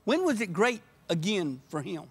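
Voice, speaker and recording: Older man, high-pitched, passionate and emphatic, guest in a church podcast chat. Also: very animated, moderate pace, husky. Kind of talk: earnest conversation. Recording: podcast audio.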